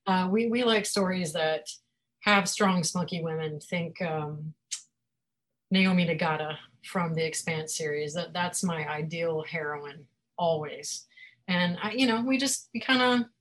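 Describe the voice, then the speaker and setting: A woman with a slightly low voice chats easily as an interview guest. She is talkative and self-deprecating, and laughs often between thoughts. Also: unhurried. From Florida. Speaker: guest author and publisher. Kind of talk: casual interview chat.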